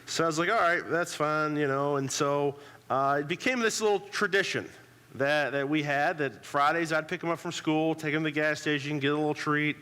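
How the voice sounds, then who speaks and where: A man's voice: fairly high pitch, fairly even intonation, moderate pace, sharp tone, clear, resonant tone. Congested man preaching in a hall. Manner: conversational, frequent "uh" fillers and restarts. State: congested from a cold. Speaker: pastor. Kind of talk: sermon with prayer and personal story. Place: church sanctuary.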